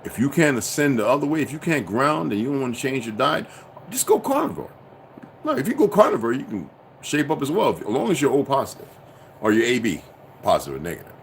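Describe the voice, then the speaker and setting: A man's voice: mid-range voice, sing-song, fast, gravelly, slight background noise. Profane preachy man ranting to viewers. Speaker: commentator.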